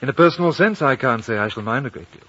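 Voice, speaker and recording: An actor playing a polite, self-possessed traveler with an articulate, urbane manner, in 1950 radio drama acting and narration. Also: male voice, mid-range voice, expressive, quick pace, a bit bright. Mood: weary, calm. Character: self-possessed British traveler, a man, curious, polite, firm. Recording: dull 1950 radio broadcast.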